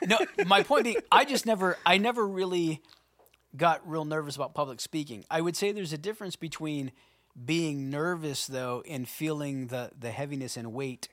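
A man in his forties, a pastor co-hosting a casual talk show, speaking in an animated, emphatic way. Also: on the high side, normal speed, dry recording. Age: 40s. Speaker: pastor, co-host. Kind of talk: casual podcast chat.

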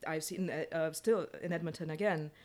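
A woman's voice: deep voice, fairly even intonation, a little fast, a little mellow. A woman speaking as a playwright in a casual panel discussion, frank in her opinions and often restarting her phrases.

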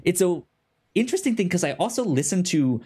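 A man hosting a podcast in casual chat, who stutters on first sounds and thinks out loud with many fillers. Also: high voice, big pitch swings, brisk, breathy edge. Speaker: podcast host.

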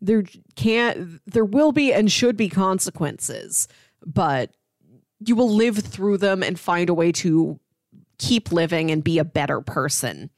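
A woman's voice: fairly low pitch, lively intonation, slow pace, no room sound, clean studio sound. A woman co-hosting a casual film discussion, retelling scenes conversationally and voicing the characters' lines. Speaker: film podcast co-host.

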